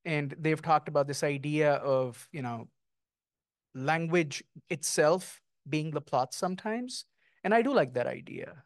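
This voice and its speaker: Middle-aged male author, reflective and poetic, slightly high voice, handheld mic, dry. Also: clean tone, dry recording. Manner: thoughtful, lyrical, with frequent ums. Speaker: guest author. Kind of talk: onstage author conversation. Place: small stage before an audience, indoors.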